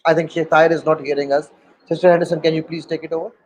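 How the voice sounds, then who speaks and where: A man's voice: fairly high pitch, level tone, very fast, a little mellow, poor audio. A man from Pakistan, hosting an online panel and talking hesitantly with many fillers. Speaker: host. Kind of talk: moderating an online panel discussion.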